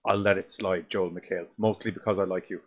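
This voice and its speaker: A man's voice: fairly even intonation, brisk. A man, co-hosting a casual movie review podcast, rambling and swearing as he goes. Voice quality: deep voice. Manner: sweary, rambling, restarts often. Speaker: podcast co-host.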